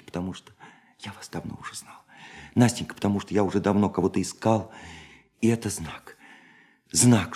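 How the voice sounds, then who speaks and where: Romantic lonely dreamer, low register, impassioned monologue, ornate literary wording, dramatic pauses, radio drama. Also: male voice, mellow, breathy edge. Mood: fervent, tender. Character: lonely romantic young dreamer pouring out his heart.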